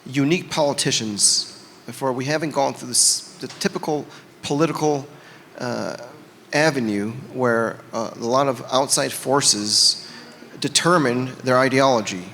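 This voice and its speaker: A man's voice: mid-range voice, unhurried, a bit bright. A man speaking earnestly as a panel guest and candidate, hesitating often with fillers. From United States. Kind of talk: campaign-style panel talk. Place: conference hall. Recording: reverberant hall with faint noise.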